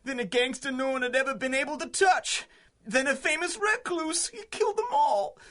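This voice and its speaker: A high-strung, cornered small-time crook. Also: male voice, lively intonation, moderate pace, sharp tone, exaggerated voice. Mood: cowed, confessing. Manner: blustering, pleading, stammering. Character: cornered male small-time crook, defiant, panicky, craven. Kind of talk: 1930s-style radio drama acting.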